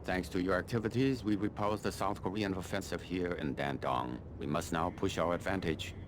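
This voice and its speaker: Man acting a deep-voiced, imperious army colonel. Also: noisy background, lightly acted. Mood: musing, commanding. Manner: measured, imperious, formal, dryly condescending. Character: cold, calculating middle-aged Chinese army colonel, male. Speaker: military commander giving mission briefings. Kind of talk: video game mission briefing dialogue.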